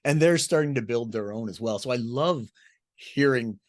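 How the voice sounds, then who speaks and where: A man's voice: mid-range voice, normal speed, no echo, clean studio sound. A man hosting a radio interview, chatting in a friendly way and laughing along with his guest. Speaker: radio show host. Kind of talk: casual interview chat.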